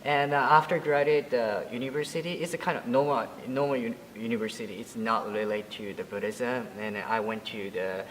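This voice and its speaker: A man in his twenties from Japan, speaking English with a Japanese accent as a Buddhist priest being interviewed, halting and full of fillers and restarts. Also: medium pitch, steady tone, in a large hall, a little hiss or hum, rough recording. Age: 20s. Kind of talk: interview answers.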